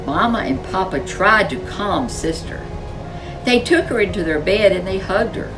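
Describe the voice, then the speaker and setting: A woman's voice: mid-range voice, animated, moderate pace, distant mic, rough recording. A woman reading a children's storybook expressively as a storyteller. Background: music.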